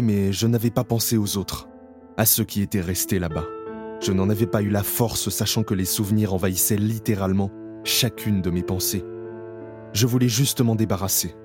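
A man voices a worn-down survivor of the camps, speaking low and reflectively. His tone is subdued and haunted. Music plays clearly beneath him. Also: close to an everyday voice. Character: male camp survivor, weary, haunted, narrating his memories. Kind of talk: audio drama narration. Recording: music playing behind the voice.

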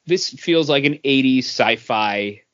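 Slow-paced man with lively, swinging pitch. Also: no room sound, muffled recording. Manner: halting, with pauses mid-sentence. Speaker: podcast host. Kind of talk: casual comic book review chat.

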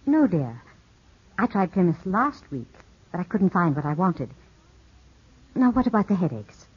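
An actress playing a poised, soothing widowed cousin who coaxes gently, in 1950s radio drama style on an old broadcast recording. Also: female voice, on the low side, big pitch swings, a little slow, slightly rough, full character voice. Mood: soothing, evasive. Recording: muffled 1950s radio broadcast, music behind.

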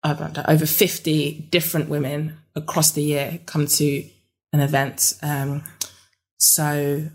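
A young woman with a London accent, a guest in a relaxed interview, talking with many ums and restarts. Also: low voice, a little slow. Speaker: interview guest, business network founder.

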